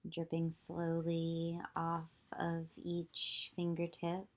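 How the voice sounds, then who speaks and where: A woman yoga teacher leading a guided visualization meditation, giving slow, soothing instructions with long pauses. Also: on the low side, monotone.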